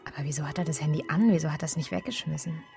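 A woman playing a sharp, inquisitive police detective in a naturalistic radio drama, dry and questioning in manner. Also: low-pitched, rapid-fire, creaky, faint music behind. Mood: brisk, questioning.

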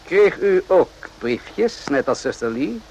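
An actor playing a courteous but probing army captain in a radio play, questioning in a measured, pointed way. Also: male voice, a little high, sing-song, darkish tone, slight background noise, heavily acted. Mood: insinuating.

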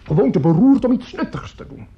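An actor playing an excitable, coarse opera conductor in a radio play, effusive and grumbling, stammering and swearing as he talks. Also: high-pitched, brisk, background noise. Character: excitable, coarse, opinionated male opera conductor at his piano. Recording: dull old radio recording, piano music.

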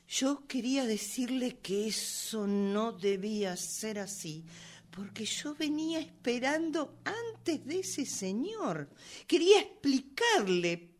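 A woman playing an indignant, hot-tempered woman in a radio drama, agitated and repeating words in bursts as she makes excuses. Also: fairly high pitch. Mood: seething. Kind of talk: radio drama workshop acting.

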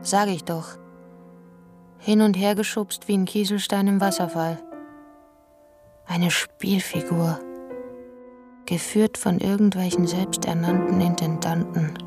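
Low, slow, bitterly brooding woman. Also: steady tone, music in the background. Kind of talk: German radio drama acting.

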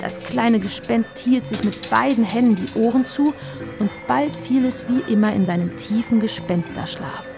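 An actress playing the narrator of a children's audio drama, telling the tale in a composed, measured, scripted way. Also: female voice, on the high side, creaky, music in the background. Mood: composed storytelling.

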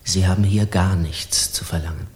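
An actor playing a pompous, suspicious police official in a German radio crime play, officious and quick to take outrage. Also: male voice, deep voice, steady tone, darkish tone, natural voice. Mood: cold, officious. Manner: officious, stern, spluttering when provoked. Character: pompous, suspicious male Paris police secretary, easily outraged.

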